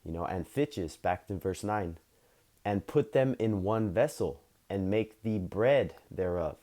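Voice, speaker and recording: Male lay preacher, deep and warm, slightly hoarse, loose unscripted delivery. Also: animated, unhurried. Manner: rambling, many fillers, reads scripture aloud. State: slightly hoarse, losing his voice. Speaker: religious teacher. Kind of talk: informal scripture lesson.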